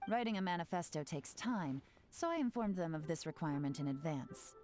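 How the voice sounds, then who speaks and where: A woman's voice: low-pitched, animated, brisk, darkish tone. An actress playing a composed, sly female operative in a video game, speaking in a cool, assured, businesslike way. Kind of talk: English video game voice acting. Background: faint game music.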